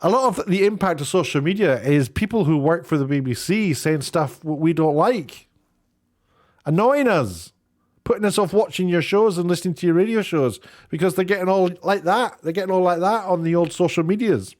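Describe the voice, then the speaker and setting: A man's voice: high voice, moderate pace, darkish tone. A British man giving casual, opinionated commentary in a rambling, chatty way with sarcastic asides. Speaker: online video commentator. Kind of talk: casual opinionated commentary, reading out tweets and figures.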